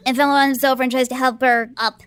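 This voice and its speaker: Woman player narrating her character's moves in a tabletop game, bright voice. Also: sits high, little pitch movement, fast, smooth, dry recording.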